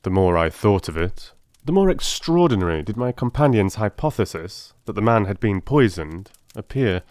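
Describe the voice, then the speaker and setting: A man narrates in a fairly low voice. His delivery is measured, grave and theatrical, as in a dramatised first-person audiobook. The sound is dry, with no room around it. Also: sing-song, darkish tone, no echo.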